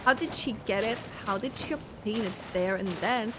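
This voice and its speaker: A woman's voice: mid-range voice, moderate pace, background noise. A young woman gaming streamer giving casual, giggly, rambling reaction commentary as she plays.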